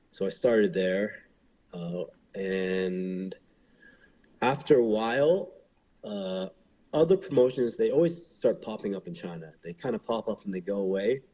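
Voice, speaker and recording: A man's voice: fairly low pitch, mellow, poor audio. A man in his thirties from Hong Kong, a pro wrestler, talking casually in an interview and rambling with many fillers and restarts.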